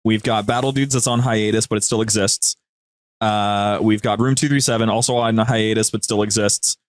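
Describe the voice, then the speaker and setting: A man's voice: lowish voice, fairly even intonation, normal speed, dry recording. A man chatting casually and jokily as a podcast guest. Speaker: podcast guest, media group co-host. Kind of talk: casual jokey chat.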